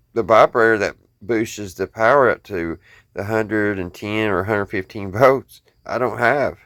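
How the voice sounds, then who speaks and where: A man's voice: on the low side, fairly bright tone, dry recording, studio quality. An American man talking casually to viewers in a folksy, rambling show-and-tell, thinking aloud as he goes. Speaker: hobbyist video host.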